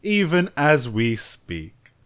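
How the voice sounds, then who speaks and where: A fawning nervous lord. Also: male voice, fairly high pitch, very animated, slow. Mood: obsequious. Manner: obsequious, stammering. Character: fawning noble father, nervous flatterer.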